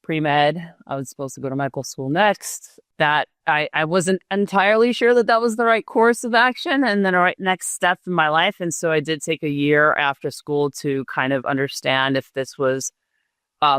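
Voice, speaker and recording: Woman in her 40s from Israel, interview guest, smooth and low, measured with fillers, dry sound. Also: a bit bright, dry recording. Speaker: real estate agent, guest. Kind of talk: casual interview answers.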